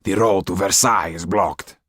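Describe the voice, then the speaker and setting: A man voicing a rough, mean male groom with a grumbling, earthy manner. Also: sits low, moderate pace, fairly bright tone, no room sound, clean studio sound.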